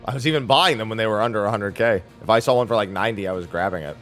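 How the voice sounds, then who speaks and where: A man's voice: lowish voice, racing, fairly bright tone, faint music behind. A man chatting casually as he hosts a livestream of a video game. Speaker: game streamer.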